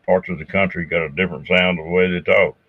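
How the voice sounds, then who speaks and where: Deep-voiced Southern man, folksy host, heard over a tinny, dry line. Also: fairly even intonation, quick pace, breathy edge, no echo. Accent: Southern US drawl. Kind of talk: casual chat. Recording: thin phone-like line, dry.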